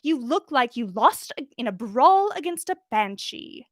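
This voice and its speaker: Casting: woman playing a prim, condescending older witch for storytelling; high, swooping, sickly sweet and indignant, every word pointedly enunciated. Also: very animated, a little slow, dry recording, clean studio sound, full character voice. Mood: scolding. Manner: haughty, over-enunciated, scolding formality.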